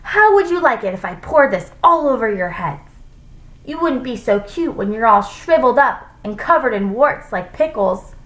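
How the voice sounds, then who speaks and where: Storybook schoolteacher, voiced by a woman reader: short-tempered, menacing, demanding, swooping and highly expressive. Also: measured pace, exaggerated voice, poor audio. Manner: sharp, demanding, emphatic. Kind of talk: children's storybook character voice.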